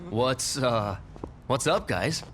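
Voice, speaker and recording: A man's voice: mid-range voice, lively intonation, takes it slowly, very noisy. A man playing a nervous, slangy stoner DJ in a video game gang, hesitant and defensive. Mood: rattled. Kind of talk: video game cutscene acting.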